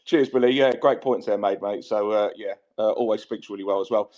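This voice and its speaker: A man's voice: fairly low pitch, brisk, a little mellow. A man hosting a casual online fan chat, talking with many fillers and restarts. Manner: hesitant, many fillers and repeats. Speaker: host. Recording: dull lo-fi sound.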